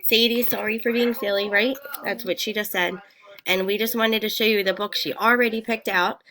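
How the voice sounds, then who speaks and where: A woman's voice: medium pitch, fairly even intonation, moderate pace, sharp tone. A woman talking casually at home as a mother, coaching a young child through reading with encouraging, short prompting questions.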